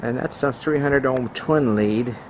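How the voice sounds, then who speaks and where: A man giving a casual, hands-on video walkthrough as a hobbyist, explaining technical gear in a matter-of-fact, halting way into a handheld camera. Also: medium pitch, a little mellow. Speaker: ham radio hobbyist showing his equipment. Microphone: handheld camera microphone. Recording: clearly noisy handheld camera recording.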